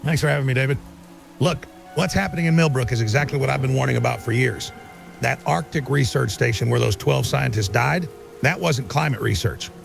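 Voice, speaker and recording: A man's voice: mid-range voice, fairly quick, creaky, faint music behind. A man acting an earnest, insistent conspiracy researcher being interviewed as a guest in an audio drama. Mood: grave, assured.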